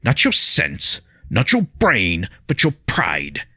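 A man voicing a stern older male weapons master with accented English, delivering measured, scolding rebukes. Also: low voice, wildly swooping pitch, sharp tone, husky, no room sound, studio quality, voice pushed up, heavily acted.

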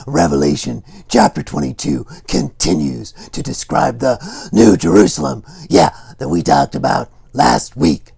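Goofy dinosaur puppet, children's Bible skit: heavily gravelled, unhurried, excitable. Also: male voice, on the high side, heavily acted. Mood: cheerful teaching. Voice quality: husky, gravelly. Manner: playful, chatty, exclamatory.

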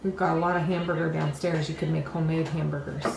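A woman's voice: low-pitched, flat delivery, fast talker, creaky. A woman in her thirties planning family meals and shopping in a matter-of-fact, casual way. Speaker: mother planning the family menu. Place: home kitchen, car and grocery store. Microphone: handheld camera held by another person. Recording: echoey, noisy camera audio.

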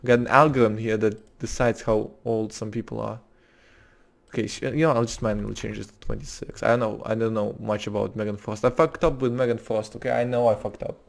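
A man's voice: lowish voice, fairly even intonation, a little fast, vocal fry. A young man streaming a roleplay game, muttering to himself while typing and restarting his sentences often. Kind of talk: live-streamed roleplay commentary.

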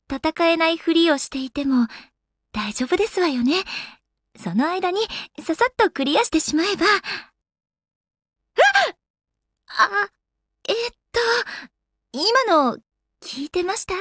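A woman's voice: very high voice, lively intonation, a little slow, a bit bright, dry recording, clean studio sound, heavily acted. A woman voicing an elegant young goddess in a video game, with polite, ladylike, composed speech. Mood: scheming, casual, then flustered. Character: elegant young goddess, refined lady and avid gamer. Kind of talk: Japanese video game character voice acting.

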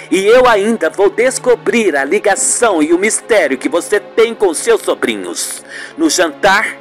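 A man's voice: sits high, fairly bright tone, heavily acted. Man voicing a vengeful, scheming mother. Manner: menacing, spiteful.

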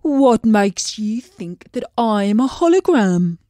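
A woman's voice: a little high, big pitch swings, slow pace, mellow, no room sound. A woman voicing a glum, plain male pond fish in a storybook reading, speaking in a mournful, heavy way. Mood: gloomy.

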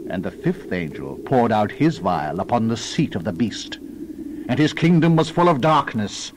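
A man narrates scripture in a solemn, dramatized style. His medium-pitched voice rises and falls expressively with deliberate, theatrical emphasis. There is clear background noise behind him. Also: big pitch swings. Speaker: Bible narrator.

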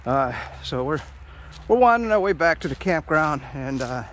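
A middle-aged man chats as he walks through the woods, with a lot of fillers and some self-mockery. His voice sits a bit high for a man, and it is picked up by the phone he carries outdoors. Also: very animated, normal speed, fairly bright tone, slight background noise. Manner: rambling, self-mocking, many fillers. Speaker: hiking vlogger. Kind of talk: casual walking commentary. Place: forest trail outdoors. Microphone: phone camera held while walking.

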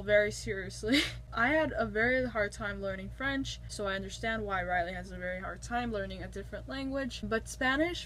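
A woman's voice: medium pitch, a bit bright, slight background noise, poor audio. A young woman from Canada, chatting casually to camera as she recaps a TV episode, rambling and gesturing as she talks, in a small room on a built-in camera mic. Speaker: vlogger reviewing a TV episode. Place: small room indoors, curtained window.

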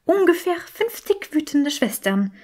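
A woman's voice: sits high, big pitch swings, measured pace, a little mellow, pitched above the performer's own voice. A woman voicing a gleeful, huffy, gossipy medieval skull in a storybook, with theatrical trilling. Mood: gleefully thrilled.

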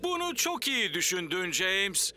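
An actor playing a friendly, jovial outdoorsman in a children's cartoon, very expressive. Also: high-pitched, a little slow. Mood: earnest. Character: hearty outdoorsy Canadian man in children's cartoon. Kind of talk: animated children's cartoon dub. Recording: music under the dialogue.

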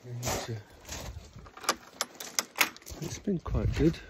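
A man giving a relaxed, rambling outdoor tour of his smallholding, filmed on a handheld phone. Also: mid-range voice, drawn-out words, very noisy. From Scotland. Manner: relaxed, rambling, with restarts and fillers. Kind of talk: casual walking tour chat. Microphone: handheld phone camera.

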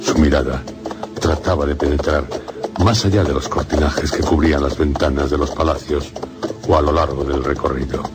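A man with a Castilian Spanish accent narrating a literary radio drama in solemn, measured phrases. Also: extremely low pitch, fairly even intonation. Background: music.

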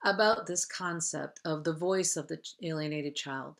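A middle-aged woman hosting in a measured, formal way, as if presenting from a script. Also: low-pitched, gravelly. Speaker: host, counsellor.

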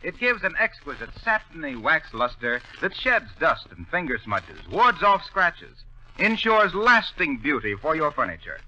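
A man announcing a sponsor's message in 1930s radio style. His voice is on the high side and very bright, with animated, polished salesmanship. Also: moderate pace, dull audio. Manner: polished, emphatic sales delivery. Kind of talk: 1930s radio commercial, scripted.

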